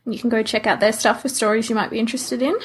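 A woman's voice: a little high, steady tone, racing, breathy edge. A woman co-hosting a podcast in a casual, conversational style. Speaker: podcast co-host. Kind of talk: casual chat.

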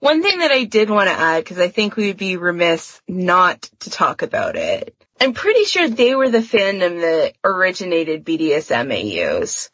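A woman's voice: on the low side, huge pitch swings, normal speed, hardly any pauses, fairly bright tone, husky, no echo, lo-fi sound. A woman talking casually as a podcast co-host, in an informal, chatty way peppered with "like". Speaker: podcast co-host discussing fan fiction. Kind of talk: casual chat.

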